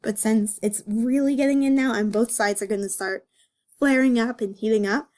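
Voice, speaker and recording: A woman's voice: a little high, normal speed, darkish tone. A woman giving casual, playful commentary as host of a comic dramatic reading, with sarcastic, self-mocking asides.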